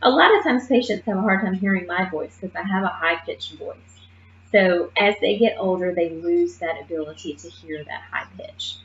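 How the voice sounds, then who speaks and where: A woman's voice: fairly low pitch, normal speed. Woman, nursing assistant instructor, emphatic classroom lecture, keeps checking in with "okay?"; muffled, echoey room sound. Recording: muffled roomy classroom recording.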